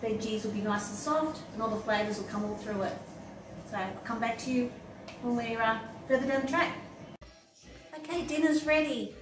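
A woman's voice: a little high, normal speed. Middle-aged woman, distant in a clattering kitchen. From Australia. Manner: plain step-by-step commentary while busy at the stove. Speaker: home cook presenting a recipe. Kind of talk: casual cooking demonstration. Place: home kitchen, indoors. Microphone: camera mic a few steps away. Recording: roomy, distant, noisy kitchen camera sound. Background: pots and dishes clattering, sizzling.

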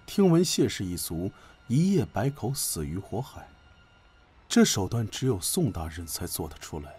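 A man acting a sly, sardonic imperial court official in a Chinese period audio drama, measured and insinuatingly polite. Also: on the low side, sing-song, dark tone, breathy edge, faint music behind, heavily acted. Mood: sly politeness.

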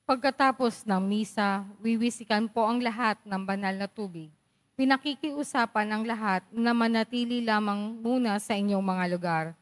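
A woman's voice: mid-range voice, moderate pace. A woman, a lay commentator at Mass giving polite instructions and announcements to the congregation. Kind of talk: church announcements and responses.

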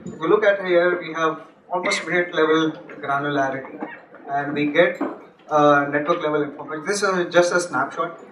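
Young man giving a halting tech talk. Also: a little high, fairly bright tone. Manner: halting, many restarts and fillers. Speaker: conference speaker. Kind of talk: technical talk at a meetup. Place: large office meeting room. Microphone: handheld mic, far camera. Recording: echoey room from far off, noisy.

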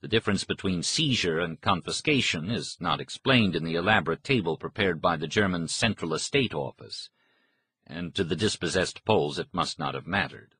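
A man narrates a history audiobook in a low, husky voice. His delivery is measured and formal, following a written script. The sound is close and very dry, with no room around the voice. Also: sharp tone, dry recording.

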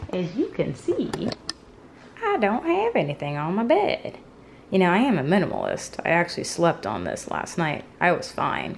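A woman's voice: on the low side, slight background noise. A woman in her twenties chatting casually to camera for a vlog, with a playful, dryly humorous manner. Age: 20s. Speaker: vlogger. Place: indoors, small bare-walled apartment rooms. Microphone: camera's built-in microphone, a short distance away. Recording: roomy echo from bare rooms, music underneath.

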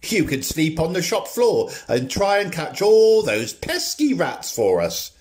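Bawdy shop-owning mum for radio panto actor in role: middle-aged, brassy, very animated pitch. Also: a little slow, slight background noise. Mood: brisk, hearty.

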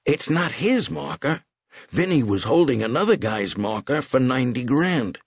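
A man's voice: medium pitch, very animated, moderate pace, husky, no room sound, clean studio sound, pitched above the performer's own voice. A man voicing a frightened elderly bookmaker in an audiobook, pleading and desperate. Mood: anxious bargaining. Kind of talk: audiobook character voice.

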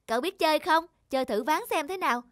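A woman's voice: high-pitched, animated, fast talker, no room sound, studio quality. A woman voicing a sly, pushy teenage boy gamer in a cartoon, cajoling and cocky. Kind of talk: Vietnamese cartoon dubbing.

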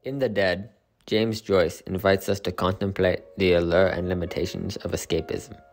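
Deep-voiced man narrating an essay. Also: darkish tone. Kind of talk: scripted narration.

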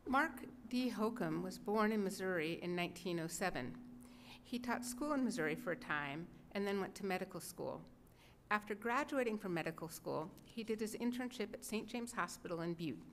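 Middle-aged woman, scripted narration, medium pitch, podium microphone, some room noise. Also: lively intonation, moderate pace. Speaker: narrator introducing letters. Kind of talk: scripted narration, read aloud. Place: public gallery event room, indoors. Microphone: gooseneck podium microphone. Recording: live room recording with background noise.

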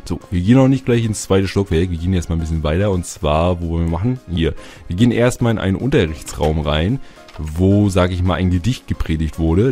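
A man with a cold and a slightly hoarse voice, chatting casually as he gives a tour of his build and keeps adding little filler tags. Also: deep voice, mellow. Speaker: Minecraft YouTuber. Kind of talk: casual gameplay tour narration.

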